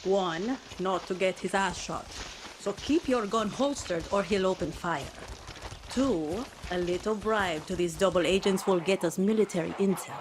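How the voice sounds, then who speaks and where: A woman's voice: mid-range voice, noisy background, natural voice. An actress playing a tough, wry female rebel and former volunteer soldier who briefs a partner on a mission in a video game. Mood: brisk. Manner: brisk, instructive, wry. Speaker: guide giving mission briefings. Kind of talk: video game cutscene acting.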